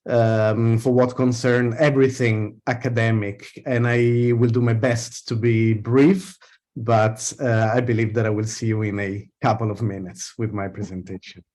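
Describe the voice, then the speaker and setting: A man's voice: mid-range voice, flat delivery, measured pace, a little mellow. Polite professor, man. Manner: polite, formal, slightly non-native phrasing. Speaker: professor, program director.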